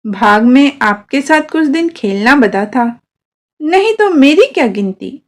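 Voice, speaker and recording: A woman's voice: sits high, big pitch swings, measured pace. Woman playing a meek, humble village labourer man. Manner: meek, self-effacing, respectful.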